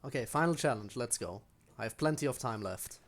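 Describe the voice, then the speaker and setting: Guy streaming a game, normal pitched voice, just casually talking thru what hes doing. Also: lively intonation, brisk. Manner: thinking aloud while playing. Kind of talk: casual live gameplay commentary.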